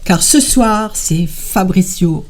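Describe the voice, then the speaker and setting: Woman hosting a talk show, warm tone. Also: medium pitch, animated, slow. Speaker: host.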